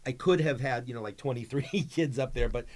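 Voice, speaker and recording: A man's voice: mid-range voice, a little fast, a little mellow. A man speaking as a school drama advisor in an interview, explaining things casually and conversationally. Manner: conversational, peppered with "you know".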